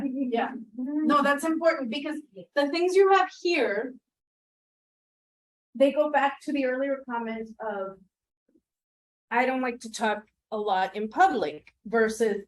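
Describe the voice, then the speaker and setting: High-voiced woman leading a class workshop. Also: a little slow, poor audio. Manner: engaging, asks the group questions and repeats their answers. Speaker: workshop presenter. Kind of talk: interactive teaching workshop.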